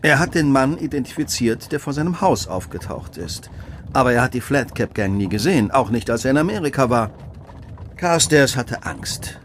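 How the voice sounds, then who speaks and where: A brilliant Victorian detective in a radio play. His voice sits at a medium pitch, and he speaks with commanding precision. His deductions come in clipped, imperious phrases with great intensity. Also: very animated, normal speed, fairly bright tone, a little hiss or hum. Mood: analytical, cool. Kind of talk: German radio drama acting.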